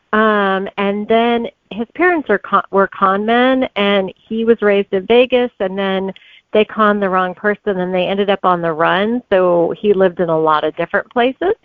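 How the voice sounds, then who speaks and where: A woman speaks as a guest author, giving conversational interview answers. Her pitch sits in the middle for a woman, and the recording is dry, with little room sound. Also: unhurried, no room sound, narrow, tinny audio.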